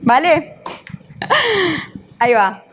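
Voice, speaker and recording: Una mujer con acento argentino, entrenadora que da un taller en vivo y le hace preguntas al público. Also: female voice, fairly high pitch, lively intonation, very slow, slight background noise. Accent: Argentine Spanish. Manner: questions the audience, uses voseo, teacherly. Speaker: NLP trainer and coach. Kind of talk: live workshop talk.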